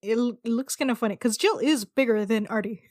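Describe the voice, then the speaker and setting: Slightly high woman's voice, rpg player casually saying what her character does, dry audio. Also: brisk, a little mellow, dry recording. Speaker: player in a tabletop roleplaying podcast. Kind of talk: casual description of character's actions.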